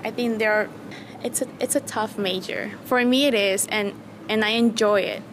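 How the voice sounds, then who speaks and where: A woman's voice: mid-range voice, steady tone, moderate pace, a little hiss or hum. A young woman from Mexico with a Mexican Spanish accent, a native Spanish speaker, earnestly answering interview questions as a student. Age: young adult.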